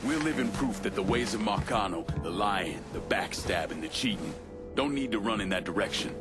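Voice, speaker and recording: Man as low-pitched, commanding crime boss. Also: lively intonation, moderate pace, lightly acted. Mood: resolute. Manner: terse, firm. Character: hard, commanding young American crime boss, blunt, resolute. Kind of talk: dramatic game cutscene acting. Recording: loud music behind the voice.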